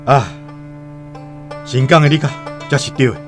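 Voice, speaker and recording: An actor playing a shrewd, self-serving Han trader, calculating and wary of trouble, with a Taiwanese accent in a radio drama. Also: male voice, medium pitch, moderate pace, lightly acted. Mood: grudging. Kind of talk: radio drama dialogue and first-person storytelling. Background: music.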